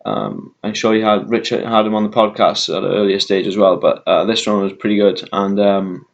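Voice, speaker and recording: A man with a Welsh accent talking casually to his YouTube viewers, rambling with lots of ums and uhs and slowing himself down. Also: lowish voice, vocal fry, dull audio. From Wales. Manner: rambling, many ums and uhs, deliberately slowed down. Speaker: vegan YouTuber. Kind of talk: casual talk to camera.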